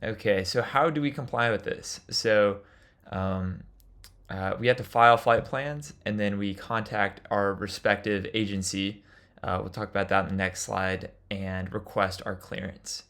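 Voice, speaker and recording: A man's voice: on the low side, steady tone, a little slow, fairly bright tone. A man giving an instructional lecture as a flight instructor, explaining things in an informal way.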